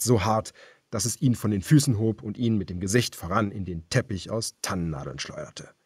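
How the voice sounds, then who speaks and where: A male audiobook narrator reads scripted thriller prose. His voice is fairly low and husky, grave and measured, in a dry, close recording. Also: dry recording.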